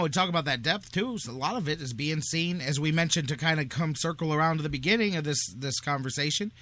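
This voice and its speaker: A man with a slightly high-pitched, very bright and punchy voice talks sports as a show host. He is casual but emphatic, stating his opinions firmly. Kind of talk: casual sports talk commentary.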